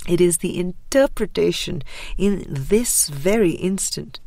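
Slow, low-pitched woman narrating. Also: lively intonation, vocal fry, warm tone, no room sound. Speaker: audiobook reader. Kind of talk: scripted spiritual book narration.

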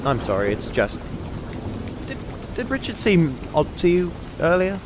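A man's voice: fairly high pitch, big pitch swings, unhurried, background noise. A man playing a prim, self-important, suspicious adventurer in a comic fantasy audio drama, wordy and exasperated. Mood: distracted. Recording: rain and thunder sound effects behind.

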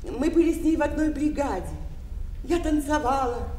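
An elderly woman of the stage in a Soviet-era radio drama, high-pitched and effusive. She is sentimental and dramatic, with nostalgia in her manner. The recording is roomy and distant. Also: animated, moderate pace, mellow, a little hiss or hum. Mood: chatty, nostalgic. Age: older. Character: theatrical, sentimental elderly ex-performer, chatty lady smoker.

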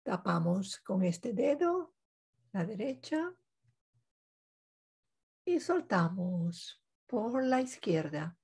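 A woman guides a meditation in a very husky, breathy voice. She speaks extremely slowly, in short, calm instructional phrases. Long pauses separate each step. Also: mid-range voice.

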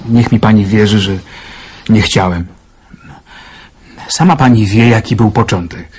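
Actor as calm young man in love, radio play, low voice, husky, earnest. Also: a little slow. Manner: calm, earnest, gently teasing. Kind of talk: radio drama acting.

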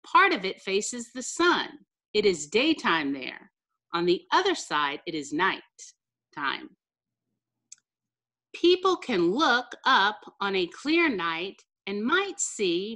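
A middle-aged American woman, a teacher reading a picture book aloud to children with careful, deliberate enunciation over a video call. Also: mid-range voice, bright, forceful tone. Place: small room indoors, on a video call.

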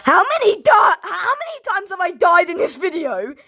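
A young woman giving excitable running commentary on her gameplay, repeating herself and shouting, her throat sore and coughing. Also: very high-pitched, normal speed, faint music behind. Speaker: gaming channel host. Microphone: phone.

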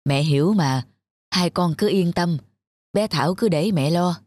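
A woman voicing a kindly old country grandmother in a children's cartoon, gentle and reassuring. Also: fairly low pitch, a little slow, background noise, close to an everyday voice. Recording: music behind the voice. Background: background music.

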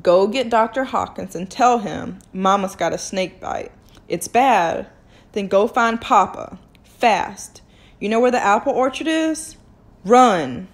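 A woman's voice: medium pitch, lively intonation, slow pace. A woman reading as an earnest young Southern girl. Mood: urgent.

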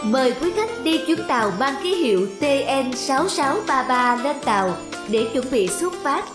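An actress playing a formal train station announcer, making a public announcement in an animated drama. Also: female voice, sits high, normal speed. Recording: echoing station loudspeaker over music.

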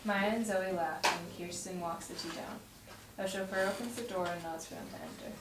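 A woman's voice: on the low side, fairly even intonation, moderate pace. A young woman reading scripted stage directions aloud at a table read. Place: home dining room, around a table. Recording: roomy, distant mic, clear noise.

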